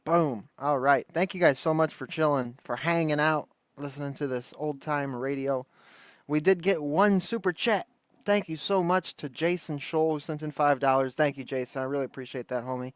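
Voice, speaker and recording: A dry, close-miked recording of a man with a slightly high voice. He hosts a livestream, chatting informally and rambling along in slang. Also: moderate pace, dry recording. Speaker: livestream host. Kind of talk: casual livestream chat.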